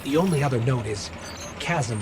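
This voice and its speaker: An actor playing a watchful, dry-witted companion who guides a hero through video game missions with wry encouragement. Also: male voice, medium pitch, animated, normal speed, very noisy, close to an everyday voice. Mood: wry, encouraging.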